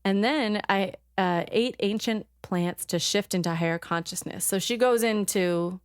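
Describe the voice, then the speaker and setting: A woman co-hosting a podcast in casual chat, halting and full of ums as she looks things up. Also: medium pitch, clear, resonant tone, dry recording, clean studio sound. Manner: chatty, halting, many ums while searching notes. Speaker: podcast co-host.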